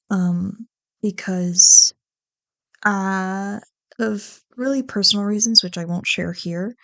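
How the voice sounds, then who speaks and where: A woman's voice: medium pitch, vocal fry, dry recording. A woman chatting casually to her listeners in a rambling, self-deprecating way, swearing freely, close to the microphone. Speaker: ASMR roleplay audio creator.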